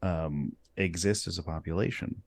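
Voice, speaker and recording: A male host with a very low, deep voice talks through a casual conversation. He stays relaxed and slips in easy laughs between his points. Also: moderate pace.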